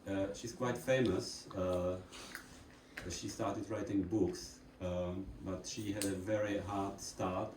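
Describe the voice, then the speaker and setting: A man introduces the next part of a church gathering in a plain, unhurried way with small fillers. His voice sits a bit low and comes from a distance in a reverberant hall with faint ambience. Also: steady tone, a little mellow, a little hiss or hum. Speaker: church presenter introducing videos. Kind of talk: casual presentation to a congregation.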